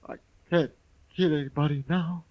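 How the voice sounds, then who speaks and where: An actor playing a crazed, dying little killer in a 1950s radio western, confessing in halting anguish. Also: male voice, fairly high pitch, steady tone, lo-fi sound, close to an everyday voice. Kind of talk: 1950s radio drama acting. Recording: old 1950s radio recording.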